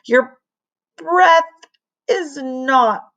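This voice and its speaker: A disgusted school staff woman from a storybook as a woman reader puts her on. The words come out slowly and high, strained with gasps and gagging, as if overwhelmed. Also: lively intonation, a bit bright, heavily acted. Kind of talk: storybook read aloud.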